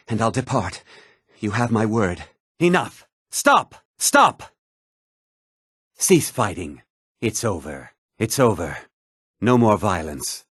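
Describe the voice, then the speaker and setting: Self-important townsman from a fantasy video game, kinda husky, really swings up and down, dry close sound. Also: on the low side, no room sound, heavily acted. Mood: guarded, formal, then alarmed, then resigned. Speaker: video game character voice actor.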